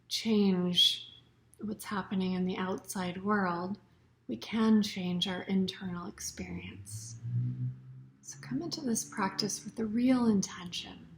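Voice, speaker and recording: A woman teaching a guided yoga nidra meditation in a soothing, unhurried way, her phrases often trailing off into soft breath. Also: mid-range voice.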